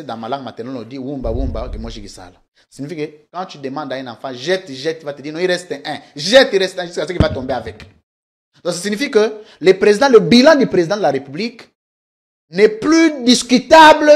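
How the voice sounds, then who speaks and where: A man, a political guest arguing insistently and talking over the host. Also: a little high. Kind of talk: heated political debate.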